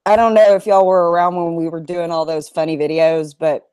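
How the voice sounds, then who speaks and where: Lowish voiced woman cohost, teasing, quick to laugh, dry mic. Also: level tone, dark tone, clean tone, dry recording. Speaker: co-host. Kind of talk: casual chat.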